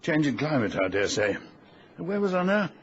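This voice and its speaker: A man plays a learned old titled gentleman of a radio drama, genteel and genial. His delivery swings widely in pitch as he explains things in formal phrases. The recording is dull, with a faint hiss. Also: sing-song, normal speed. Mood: scholarly, expansive. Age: older. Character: genial, learned old titled English explorer, fatherly and dismissive.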